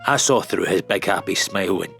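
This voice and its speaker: An actor playing a bitter, accusing storybook character with a gravelly voice. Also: male voice, sits low, brisk, fairly bright tone, soft background music.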